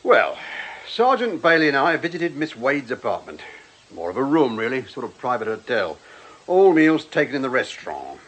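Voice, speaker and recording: A man's voice: mid-range voice, very animated, normal speed, fairly bright tone, slightly rough. An actor playing a dutiful, plain-spoken police detective in a radio drama, reporting to his superior in a deferential, methodical and mildly droll way.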